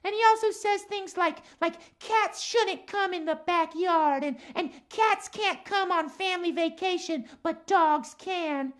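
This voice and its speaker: A man voicing an earnest, principled female cat puppet for a children's show, with a halting, repetitive way of talking. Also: very high-pitched, a bit bright, voice pushed up. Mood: indignant.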